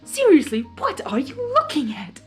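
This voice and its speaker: A woman's voice: sits high, huge pitch swings, moderate pace, faint music behind, voice pushed up. A woman voicing an impatient, boastful male spirit of chaos in a storybook reading, exasperated and demanding. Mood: defensive. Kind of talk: dramatized fanfiction storytelling.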